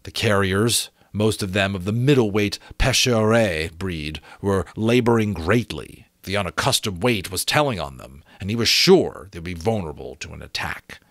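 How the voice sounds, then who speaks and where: A middle-aged man reading a novel aloud as expressive, deliberate dramatic narration, close to a desk microphone. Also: deep voice, no room sound. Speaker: amateur audiobook reader. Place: small indoor room with bookshelves.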